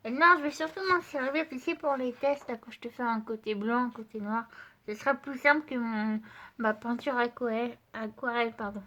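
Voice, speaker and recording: A woman's voice: fairly high pitch, fairly quick, bright, forceful tone. A woman with a stuffy voice from a cold, chatting casually to her viewers as she shows craft supplies and tests paper, with many fillers. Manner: chatty, addresses viewer directly, frequent fillers. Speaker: art channel host.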